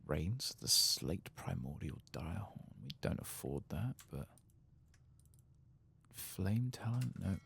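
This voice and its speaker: A man doing a soft-spoken ASMR game playthrough, murmuring musing asides and sometimes dropping toward a whisper. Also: sits low, big pitch swings, slow pace, gravelly, a little hiss or hum. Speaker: gaming ASMR host.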